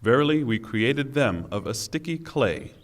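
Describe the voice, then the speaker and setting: A man's voice: mid-range voice, expressive. Solemn man reading scripture with long reverb. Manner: solemn, deliberate, formal. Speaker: reader of Quran translation.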